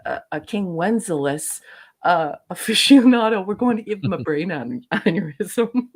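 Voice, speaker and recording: A woman co-hosting a casual livestream chat over a video call, quick and playful, teasing the others. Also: lowish voice. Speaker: co-host.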